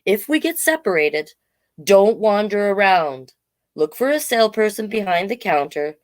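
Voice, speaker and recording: A woman's voice: mid-range voice, very animated, normal speed, no room sound, voice pushed up, natural voice. A woman reading aloud the part of a caring, sensible grown woman in a children's storybook, giving careful instructions. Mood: caring, instructive.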